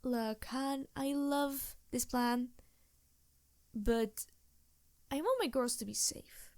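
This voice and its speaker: A woman voicing a seductive, scheming succubus demon who is a loyal ally, speaking softly and musingly in an intimate improvised ASMR roleplay. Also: high-pitched, fairly even intonation, slow, dry recording. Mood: wary, negotiating.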